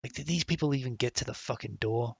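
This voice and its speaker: A man's voice: mid-range voice, fast, gravelly, a little mellow, slightly rough, no echo, muffled recording. A man live-streaming a puzzle game, muttering and swearing in frustration as he guesses passwords. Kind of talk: live-stream commentary while gaming.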